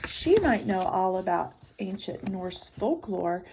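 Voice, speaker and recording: A woman's voice: mid-range voice, big pitch swings, slow, darkish tone, slight background noise, low-quality recording. A woman reading the part of an indignant eight-year-old little brother, sulky and defiant, in a children's storybook.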